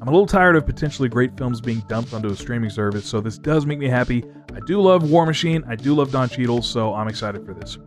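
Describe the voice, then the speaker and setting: A man's voice: mid-range voice, animated, fast. A man hosting a scripted entertainment news segment, reading as narration. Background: music.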